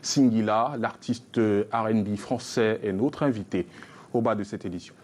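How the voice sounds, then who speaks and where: A man presenting an evening television news bulletin, reading headlines in a measured newsreader delivery. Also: on the low side, darkish tone, a little hiss or hum. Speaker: news anchor.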